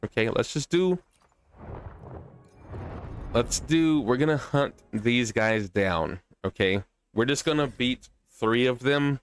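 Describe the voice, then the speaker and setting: A man's voice: mid-range voice, takes it slowly, long pauses, clear, resonant tone. A man streaming a video game with casual, chatty commentary, playful slang and a self-deprecating streak. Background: music.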